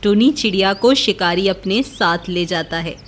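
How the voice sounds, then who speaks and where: A woman's voice: medium pitch, moderate pace, a little hiss or hum. A woman narrator reading a scripted children's moral story.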